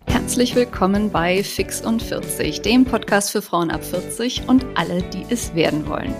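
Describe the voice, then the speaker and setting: A woman in her forties hosting a podcast, welcoming a guest and reading a promo in a brisk, chatty way. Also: mid-range voice, lively intonation, over loud music. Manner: brisk, chatty announcer reading ads, some fillers. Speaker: podcast host.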